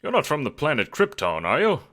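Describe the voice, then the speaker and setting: Guy doing a cocky breezy adventurer scientist for an audio drama, pitch swooping around, bright voice. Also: wildly swooping pitch, a little fast, full character voice. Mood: playful, curious. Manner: breezy, theatrical, old-fashioned exclamations. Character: jaunty, self-important male globe-trotting adventurer scientist.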